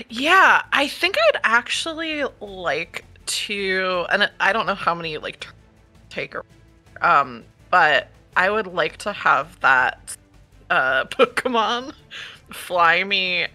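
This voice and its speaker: A woman's voice: fairly low pitch, animated, slow, bright, forceful tone, faint music behind. A woman playing a tabletop game, hesitant with many fillers and often giggling. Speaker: player.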